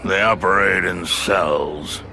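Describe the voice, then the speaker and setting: An actor playing a smug, calculating older crime boss in a video game, measured, formal and condescending. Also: male voice, deep voice, fairly even intonation, creaky, noisy background, exaggerated voice. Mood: sly. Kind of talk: dramatic video game acting.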